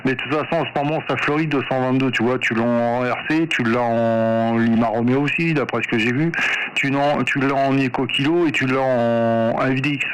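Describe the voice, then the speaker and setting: A man's voice: medium pitch, steady tone, normal speed. A man speaking as an amateur radio operator in a casual, hesitant, rambling chat over a two-way radio. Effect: two-way radio transmission. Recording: noisy radio link with clicks.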